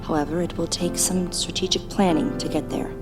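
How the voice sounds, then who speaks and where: A woman playing a composed, formal hospital doctor in an audio drama, measured and earnest. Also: lowish voice, steady tone, over music, natural voice. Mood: measured, persuasive. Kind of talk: dramatic audio play acting.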